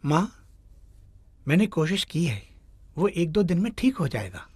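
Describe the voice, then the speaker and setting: A woman voicing an earnest young man, a caring son, in an animated story. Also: lowish voice, measured pace, slightly rough, no room sound, clean studio sound, full character voice. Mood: earnest, reassuring. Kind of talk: Hindi animated moral story acting.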